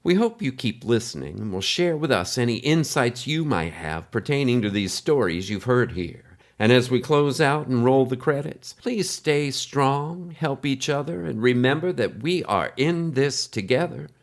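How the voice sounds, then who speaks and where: A man's voice: mid-range voice, expressive, normal speed, hardly any pauses, natural voice. A man playing a folksy, heartfelt podcast host who addresses the listeners directly in a scripted drama. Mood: friendly, heartfelt. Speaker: podcast host in a fiction show.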